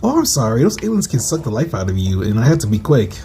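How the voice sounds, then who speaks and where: A man's voice: medium pitch, big pitch swings, quick pace, gravelly, mellow, slight background noise. A man voicing a capable, apologetic young female bounty hunter in an amateur comic dub. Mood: matter-of-fact.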